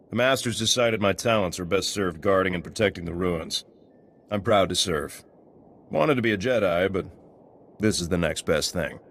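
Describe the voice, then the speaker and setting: An actor playing a gruff, dutiful male guard in a video game, talking in terse, clipped sentences. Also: low voice, a bit bright, a little hiss or hum. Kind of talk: video game dialogue acting.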